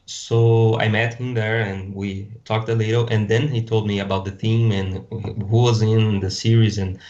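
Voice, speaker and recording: A man's voice: on the low side, little pitch movement, measured pace, a little mellow, dull audio. A Brazilian man with a Portuguese accent, a podcast guest talking casually and hesitantly, often restarting words as he looks for them in English. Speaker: guest designer. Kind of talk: casual interview chat.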